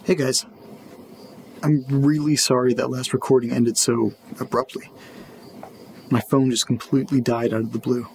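A man playing a jittery late-night audio diarist, speaking quietly and casually. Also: medium pitch, little pitch movement, moderate pace, creaky, pauses often, slight background noise, lightly acted. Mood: uneasy, apologetic. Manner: hushed, conversational, informal. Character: jittery, foul-mouthed man recording a late-night spooky audio diary. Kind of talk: confessional audio-diary horror fiction, casual.

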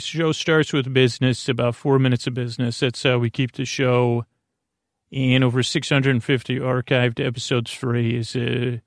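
A man's voice: medium pitch, gravelly, no room sound, clean studio sound. A man hosting a bedtime podcast, rambling through a drowsy intro full of fillers and playful tangents. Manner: meandering, goes off on tangents and wordplay, many fillers. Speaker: podcast host.

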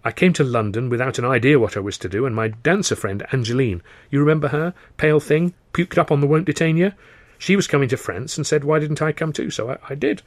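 A man's voice: mid-range voice, animated, quick pace, no room sound, voice pushed up, lightly acted. A man acts out a young woman dancer in an audiobook. She is flighty and teasing, rambling breezily with little tag questions. The sound is close and dry. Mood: chatty. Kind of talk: acted audiobook dialogue.

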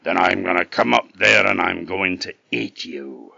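Man playing a deep, menacing bridge troll. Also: expressive, measured pace, creaky, no echo, highs cut off, heavily acted. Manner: threatening, loud. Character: hungry, menacing male troll under a bridge. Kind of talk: storybook character voice.

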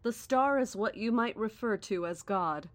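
A woman playing a serene but menacing female cult leader in a horror audio drama, speaking in a deliberate, formal, condescending and grandiose way. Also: mid-range voice, dry recording, close to an everyday voice. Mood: reverent. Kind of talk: horror audio drama acting, live radio address.

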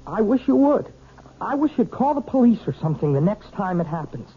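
An earnest, lovesick piano student with a breathy voice that swings widely in pitch, stammering awkwardly. His lines come through a dull old radio broadcast. The delivery is that of 1970s radio drama. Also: big pitch swings, a little fast, heavily acted.

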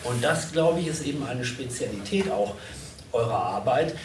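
Male stage host for live panel, halting, wordy delivery, recorded from afar in an echoey hall. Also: medium pitch, lively intonation. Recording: echoey, distant, noisy event recording.

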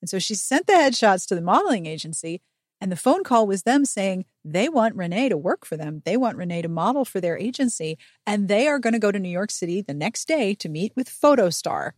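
A woman hosting a podcast, giving a chatty, humorous book recap with sarcastic, expressive delivery. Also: mid-range voice, brisk, dry recording, clean studio sound. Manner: sarcastic, expressive, acts out dialogue. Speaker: podcast host.

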